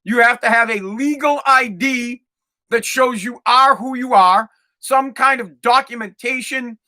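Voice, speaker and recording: A man's voice: very high-pitched, a little slow, pushed and bright. A male podcast host delivering a profane, fired-up rant with lots of repetition. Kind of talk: ranting monologue.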